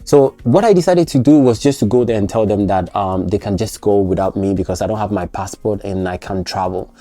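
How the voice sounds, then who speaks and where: A man's voice: on the low side, fairly quick, warm tone, no room sound. A man vlogging about his trip, recounting it animatedly with many restarts and self-corrections. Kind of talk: casual travel storytelling.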